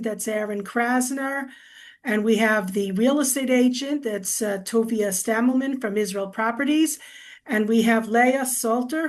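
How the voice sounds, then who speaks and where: Higher-voiced woman opening a webinar. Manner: welcoming, hesitant with fillers. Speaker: lawyer and webinar host.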